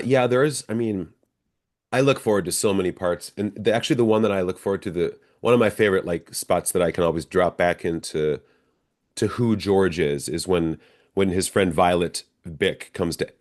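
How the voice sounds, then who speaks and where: A man's voice: sits low, moderate pace, darkish tone. A middle-aged American man, an actor being interviewed, telling stories casually and acting out bits of dialogue as he goes.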